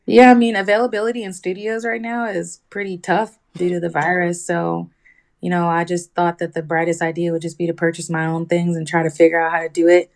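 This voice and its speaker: A woman's voice: fairly low pitch, level tone, brisk, creaky. A woman who makes music, answering casually and easily as a guest in a phone interview. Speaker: music artist being interviewed.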